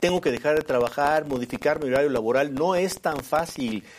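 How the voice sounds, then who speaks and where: A Mexican man with a fairly high voice, commenting on the news in a conversational way. He is emphatic, repeats his points and keeps tagging questions onto statements. Faint noise sits behind him. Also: a little fast. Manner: emphatic, repetitive, seeks agreement with tag questions.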